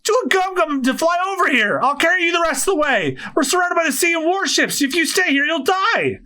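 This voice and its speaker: A man voicing loud, panicked pirate comrades in an acted manga read-aloud, shouty and exclamatory. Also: high voice, big pitch swings, moderate pace, voice pushed up, full character voice. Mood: frantic. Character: loud, frantic pirate comrades yelling in alarm.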